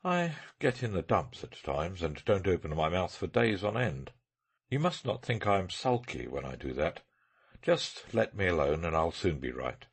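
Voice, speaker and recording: A man voicing a brisk, eccentric detective in an audiobook, lively and matter-of-fact. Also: sits low, slightly rough, full character voice. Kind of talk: audiobook character reading.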